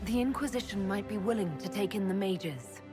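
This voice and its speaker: An actress playing a resolute, diplomatic heroine in a video game cutscene, measured, earnest and decisive. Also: female voice, medium pitch, lightly acted. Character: resolute, diplomatic female hero and leader. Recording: long hall reverb under music.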